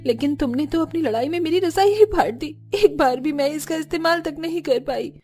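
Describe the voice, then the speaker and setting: A woman's voice: sits high, fairly quick, warm tone, a little hiss or hum, close to an everyday voice. A woman voicing a long-suffering young daughter-in-law in a cartoon, plaintive and polite. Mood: tearful. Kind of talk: Hindi cartoon dialogue acting.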